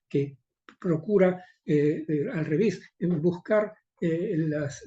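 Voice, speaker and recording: A man's voice: a little high, warm tone, highs cut off. An older man with a Rioplatense Spanish accent, reading a formal academic conference talk with occasional hesitations. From Argentina. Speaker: conference speaker.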